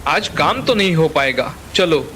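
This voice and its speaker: A man's voice: a little high, expressive, unhurried, bright, forceful tone, slight background noise. A man playing a worried, caring father of a poor family in a dramatized moral story.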